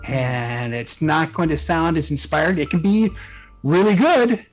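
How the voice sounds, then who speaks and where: Higher-pitched man, casual guest author. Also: a little slow. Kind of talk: casual interview talk. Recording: music playing behind the voice. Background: background music.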